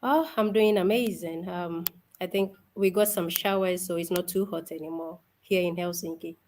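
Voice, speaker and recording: A young woman from Ghana, a guest on an interview show, cheerful and soft-spoken, laughing often as she talks. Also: on the low side, fairly even intonation, normal speed, slight background noise. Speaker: guest, event organizer. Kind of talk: casual interview.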